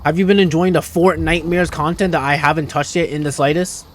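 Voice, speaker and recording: A man's voice: fairly high pitch, fairly even intonation, brisk, a little hiss or hum. A man doing casual, slangy live game commentary, playful and prone to rambling. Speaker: game streamer. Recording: live stream with faint game music.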